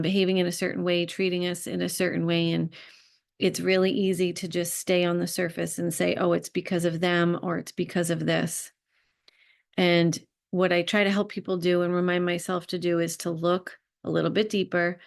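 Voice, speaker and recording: A woman speaking off the cuff as a spiritual self-healing guide, reflective and halting, pausing often as she thinks. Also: lowish voice, clear, resonant tone. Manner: halting, thoughtful, with pauses. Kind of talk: unscripted reflective talk to viewers.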